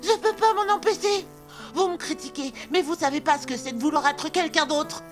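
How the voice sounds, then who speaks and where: A woman's voice: sits high, normal speed, soft background music, lightly acted. A woman in a French cartoon dub voicing a misunderstood, earnest little female moth. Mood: sad, defensive. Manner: plaintive, earnest.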